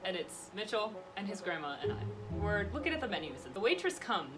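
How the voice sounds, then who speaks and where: Animated young woman telling stories over music. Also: medium pitch, a little fast. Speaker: vlogger telling personal stories. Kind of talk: casual chatty storytelling with a friend.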